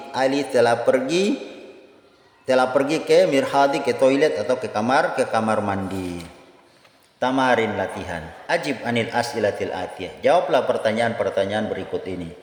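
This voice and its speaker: A man with an Indonesian accent giving a language lesson, reading phrases aloud, repeating them and explaining them didactically. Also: medium pitch. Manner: didactic, repeats phrases and asks himself questions. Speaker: Arabic language teacher. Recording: echoey room with long reverb.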